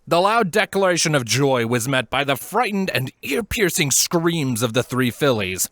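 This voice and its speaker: A man reads a story as its narrator. His voice sits at a middle pitch and his delivery is expressive and dramatic. The recording is dry, with no room sound. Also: no room sound. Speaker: fan fiction reader, narrator.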